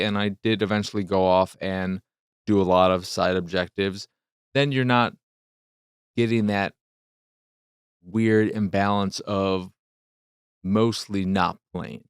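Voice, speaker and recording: A man with a fairly low, clean and resonant voice talks through a casual game review. He works out his thoughts as he goes, in short, halting phrases. The sound is dry and close, with very little room around it. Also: clear, resonant tone, no room sound.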